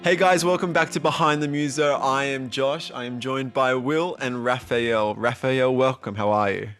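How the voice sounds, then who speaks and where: A man's voice: medium pitch, normal speed, fairly bright tone. A man hosting a music interview in a casual, chatty style. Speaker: podcast host.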